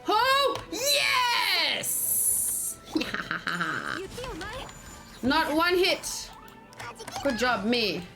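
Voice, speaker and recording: A woman doing a casual let's play, excitable and thinking aloud, often repeating her phrases as she goes. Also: very high-pitched, drawn-out words, vocal fry, long pauses, music in the background. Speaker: game streamer, host. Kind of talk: casual let's play chat and live game commentary.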